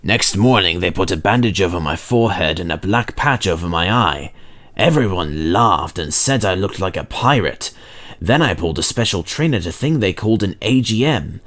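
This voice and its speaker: A man's voice: low-pitched, lively intonation, moderate pace, a bit bright. A man voicing a proud, chatty male steam engine in a storybook, boasting dramatically about adventures. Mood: dramatic.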